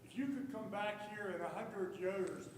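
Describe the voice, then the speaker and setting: High-voiced man, distant and very echoey. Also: measured pace. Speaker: audience questioner. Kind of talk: halting live question to a speaker. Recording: very echoey, noisy, far from mic.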